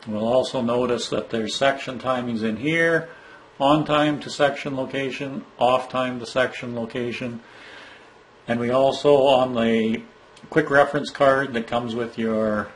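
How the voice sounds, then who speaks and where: A man's voice: mid-range voice, a little hiss or hum, low-quality recording. Man explaining equipment setup steps. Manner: plain, instructive, some fillers and restarts. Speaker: product trainer. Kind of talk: step-by-step equipment walkthrough. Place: indoor room, filming a monitor screen.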